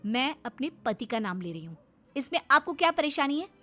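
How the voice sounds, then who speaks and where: A woman's voice: sits high, sing-song, a little fast, pushed and bright, full character voice. A woman voicing an outspoken young daughter-in-law in a moral story, argumentative and indignant. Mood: defiant. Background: music.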